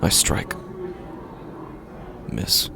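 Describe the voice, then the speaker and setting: A man narrating a first-person horror story in a halting, uneasy way, often breaking off mid-sentence, over a music score. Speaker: podcast narrator.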